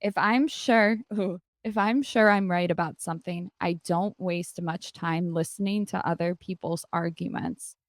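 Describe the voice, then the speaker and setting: A woman's voice: fairly low pitch, fairly even intonation, measured pace, hardly any pauses, no echo, studio quality. A woman chatting informally as she hosts a casual livestream, often jumping in with short conversational remarks. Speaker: content creator and show host.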